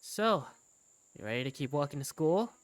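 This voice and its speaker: A man's voice: on the high side, lively intonation, moderate pace, no echo, natural voice. A man voicing a playful, easily flustered teenage schoolboy in a game fandub, exclaiming and bantering.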